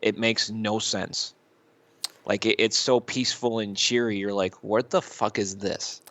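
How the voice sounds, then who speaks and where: A man with a fairly low voice, recorded close and dry, chats as a podcast co-host. He talks in a rambling, halting way, circling back over his phrases and swearing casually as he gives his take. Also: flat delivery, a bit bright, dry recording. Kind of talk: casual chat, reviewing YouTube videos.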